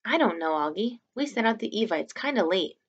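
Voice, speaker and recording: A woman's voice: mid-range voice, animated, brisk, breathy edge, muffled recording, pitched above the performer's own voice. A woman voicing a schoolboy's mother in a storybook, reasoning and placating, with a touch of impatience. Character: patient but slightly impatient mother of a schoolboy.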